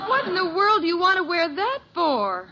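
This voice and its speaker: An actress playing a fond, sentimental suburban mother in a 1950s radio sitcom, with expressive, motherly delivery. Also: very high voice, normal speed. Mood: fond, amused. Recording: muffled old radio recording, faint noise.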